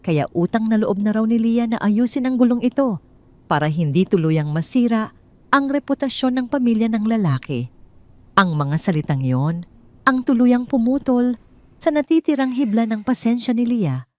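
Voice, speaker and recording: A woman with a Filipino accent reads a scripted dramatic story in a measured, emphatic way. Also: medium pitch, a little mellow.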